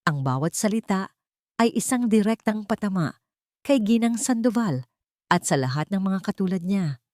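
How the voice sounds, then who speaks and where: A woman narrating a scripted romance story in a dramatic, measured way, phrase by short phrase. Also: medium pitch, lively intonation, dark tone, no echo, studio quality.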